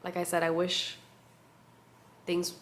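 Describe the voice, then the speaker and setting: A woman, talking to the camera in a casual catch-up vlog. She sounds nasal and congested, and she speaks softly and reflectively with many pauses and fillers. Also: fairly low pitch, monotone, a little hiss or hum. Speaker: vlogger. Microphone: compact camera mic.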